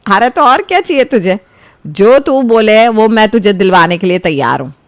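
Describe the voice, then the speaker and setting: A woman's voice: medium pitch, lively intonation, normal speed, no room sound, studio quality, natural voice. A woman voicing a fussy, demanding but generous mother in a storybook tale, exclaiming and bossy. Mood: pleased, generous. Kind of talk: animated storybook dialogue.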